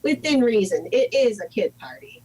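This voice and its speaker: Lively-pitched sensible mom played by a woman. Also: moderate pace, husky, poor audio. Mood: supportive, wry. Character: supportive, sensible mother of a gifted girl. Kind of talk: amateur audio play.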